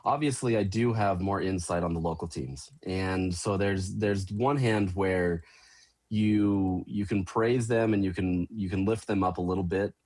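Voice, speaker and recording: A man's voice: deep voice, fairly even intonation, unhurried, a little mellow. A man speaking as a sportswriter guest in a casual interview, easygoing and full of you-knows.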